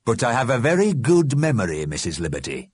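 A man's voice: mid-range voice, wildly swooping pitch, brisk, slightly rough, dry recording, voice pushed up. A man voicing a cheeky, unabashed dead man with an eager manner, for a storybook. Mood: keen, unabashed. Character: cheeky, keen dead man, male ghost.

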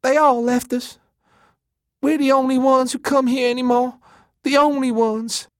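Fragile, needy story character, female, played by a man; very high pitch, plaintive, excitable, dry close recording. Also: unhurried, no echo. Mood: tearful, plaintive. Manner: pleading, plaintive, repetitive. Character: fragile, weepy woman, needy and childishly excitable.

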